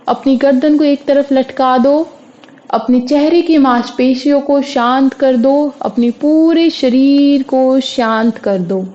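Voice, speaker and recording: A woman's voice: high-pitched, dull audio. A woman leads a guided meditation as a yoga instructor, giving soothing instructions in repeated phrases.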